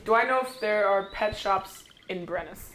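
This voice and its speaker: A woman's voice: mid-range voice, normal speed, a bit bright. A woman chatting casually and playfully as a player in a tabletop role-playing game. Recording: noisy group table recording, clicks.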